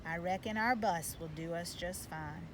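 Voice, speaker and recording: A woman's voice: on the low side, normal speed, pitched below the performer's own voice, close to an everyday voice. A woman voicing a proud, loving father who coaches baseball in a storybook, with folksy phrasing. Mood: warm, wry. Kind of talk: storybook read-aloud. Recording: loud background noise.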